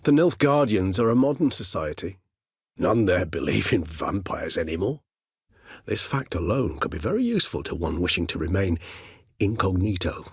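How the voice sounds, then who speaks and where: An ancient, learned vampire gentleman for a fantasy video game. His voice sits fairly low with a soft, husky grain, recorded close and dry. He speaks in courteous, eloquent, old-fashioned sentences with measured care. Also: sing-song, dry recording, full character voice. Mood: composed, wry. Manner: eloquent, formal, measured, archaic wording. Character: erudite, courteous, centuries-old male vampire gentleman scholar.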